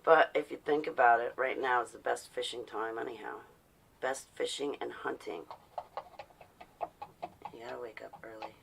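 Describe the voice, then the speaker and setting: A woman's voice: very deep voice, steady tone, normal speed, gravelly, long pauses, noisy background. A woman in her forties with an American accent talking casually and bluntly, rambling in a defiant way with rhetorical questions. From New Jersey, United States. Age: 40s. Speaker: vlogger. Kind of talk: casual rambling personal talk.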